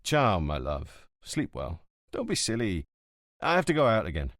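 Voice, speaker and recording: A man's voice: on the low side, wildly swooping pitch, moderate pace, a bit bright, no room sound, studio quality, heavily acted. A man voicing a tense, evasive police inspector in an audiobook, speaking curtly.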